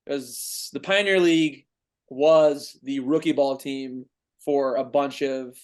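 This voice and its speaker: Guest, male, mid-twenties; average pitch, casual, meandering delivery. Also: slow pace. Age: 20s. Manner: relaxed, rambling explanations with many restarts. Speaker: pro baseball player, podcast guest. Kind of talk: casual chat.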